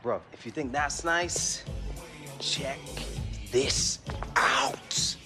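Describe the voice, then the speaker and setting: A man's voice: medium pitch, extremely slow, over music. A young man with a British accent playing an easygoing footballer, talking in a casual, slangy way. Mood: upbeat, chatty. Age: young adult.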